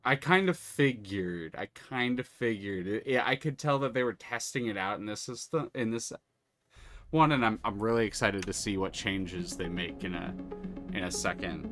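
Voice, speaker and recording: A man's voice: on the low side, moderate pace, a bit bright. A man streaming a game, chatting casually and explaining the mechanics with enthusiasm and many restarts. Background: music.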